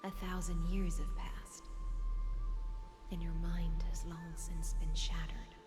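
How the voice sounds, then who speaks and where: An actress playing a composed, stern interrogator in a fantasy game, measured and grave. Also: female voice, on the low side, flat delivery. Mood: grave, probing. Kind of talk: scripted game voice acting. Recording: long reverb with music behind.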